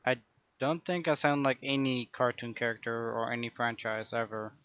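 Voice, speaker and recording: Flat-voiced man streaming a game. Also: medium pitch, moderate pace, no echo, muffled recording. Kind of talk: casual chat while gaming.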